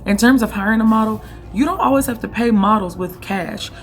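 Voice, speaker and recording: Hoarse woman giving blunt business advice. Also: a little high, a little fast. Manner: direct and coaching, keeps checking in with "okay?". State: hoarse, losing her voice. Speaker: online boutique owner giving business tips. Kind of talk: casual vlog advice.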